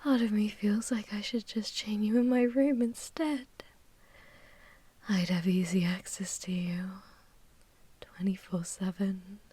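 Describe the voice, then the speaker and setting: A woman's voice: mid-range voice, fairly even intonation, a little slow, pauses often, dark tone, breathy edge, dry recording. A woman playing a seductive, possessive and menacing vampire warlord in an intimate, mostly whispered ASMR fantasy roleplay, languid and teasing. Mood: hungry, seductive.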